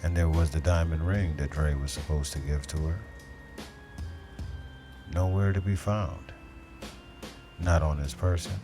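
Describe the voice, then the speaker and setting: A man playing a brooding, hardboiled police detective, narrating a noir audio drama in a measured, poetic, deadpan way. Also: extremely low pitch. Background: music.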